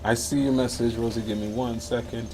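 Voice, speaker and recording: A man's voice: medium pitch, little pitch movement, fairly quick, low-quality recording. An American man from Miami, chatting casually and easygoing as he gives live gaming commentary. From Miami, Florida, United States. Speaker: livestreamer. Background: music and game sounds.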